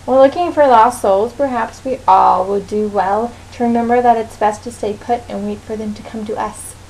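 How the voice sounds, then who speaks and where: A woman's voice: on the high side, steady tone, moderate pace, a little mellow, lightly acted. A woman voicing a poised, scolding telepath mentor from a comic, formal and condescending, on a noisy amateur recording. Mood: haughty.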